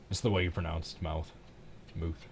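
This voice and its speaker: A man's voice: low-pitched, little pitch movement, unhurried, creaky, darkish tone, a little hiss or hum. A man with a Midwestern American accent, chatting casually and reacting live to a race as a stream host.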